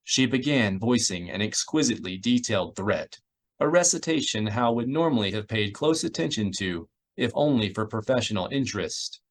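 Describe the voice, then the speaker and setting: Expressive male audiobook narrator. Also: on the low side, moderate pace. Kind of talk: scripted narration of a sci-fi novel, with character voices.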